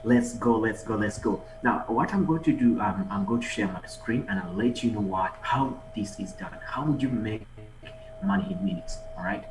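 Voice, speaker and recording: A man, speaking energetically over a video call. He urges listeners on with repeated calls. The room sounds echoey, with clear music playing behind him. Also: fairly low pitch, normal speed. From Uganda. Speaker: guest speaker, online marketer and trader. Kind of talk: motivational conference presentation.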